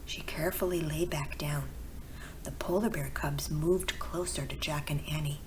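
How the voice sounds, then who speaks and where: A woman narrating a bedtime storybook in a soft, breathy, gentle storytelling manner. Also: sits low, steady tone, normal speed. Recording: clearly noisy background with ambience.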